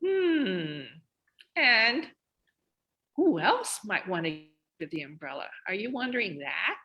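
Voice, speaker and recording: A woman co-presenting a children's read-aloud, thinking aloud and asking expressive questions. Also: medium pitch, slow pace, highs cut off. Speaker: reading teacher, co-presenter. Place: online video session.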